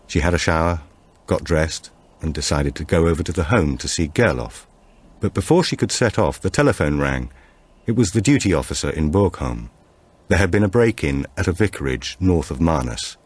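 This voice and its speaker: Deep, husky male audiobook narrator. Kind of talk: scripted narration of a suspense thriller.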